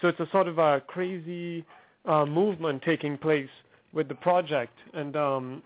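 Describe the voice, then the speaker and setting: A man's voice: on the high side, steady tone, moderate pace. A man, a musician talking casually as a guest in an upbeat, rambling way with many fillers. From Trinidad and Tobago. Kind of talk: casual phone interview. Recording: tinny phone line.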